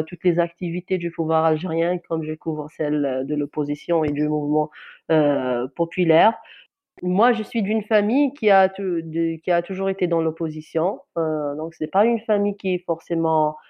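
A woman journalist from Algeria whose first language is Kabyle, speaking in an interview in an earnest, halting way with many restarts and fillers. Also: deep voice, fairly even intonation, dull audio. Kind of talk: interview answer, personal account.

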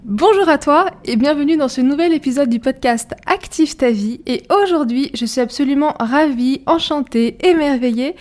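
A woman's voice: high voice, normal speed, few pauses, darkish tone, soft background music. Effusive woman podcast host. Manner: enthusiastic, effusive. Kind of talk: podcast hosting and interviewing.

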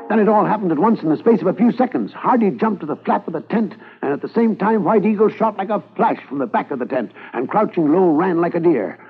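A man narrating a 1950s radio drama in a brisk, vivid storytelling manner. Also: on the high side. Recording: thin phone-like old broadcast with music.

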